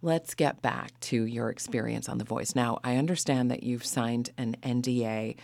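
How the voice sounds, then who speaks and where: A woman's voice: a bass voice, moderate pace, gravelly, a little mellow, no echo. Female radio host, dry close studio sound. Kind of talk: public radio interview with scripted introduction.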